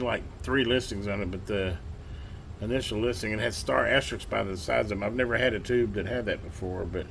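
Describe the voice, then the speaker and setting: A man with a medium-pitched voice talks casually through hands-on hobby work, thinking aloud as he goes. His delivery is folksy and colloquial, with halting stops and trailing pauses. It is an amateur home recording with clear noise behind the voice. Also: a bit bright. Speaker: hobbyist host restoring and testing old radios. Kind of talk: unscripted workbench narration, casual talk.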